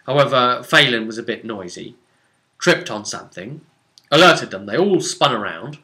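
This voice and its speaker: Bright-voiced man recounting a tabletop game. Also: mid-range voice, lively intonation, moderate pace. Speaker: game master. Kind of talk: casual recap of a tabletop role-playing session.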